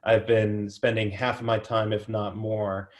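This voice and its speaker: A young man with a slight New Zealand accent, a company founder talking in a relaxed, plainspoken way as an interview guest on a video call. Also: fairly low pitch, a little slow. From United States. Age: young adult. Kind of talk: casual interview.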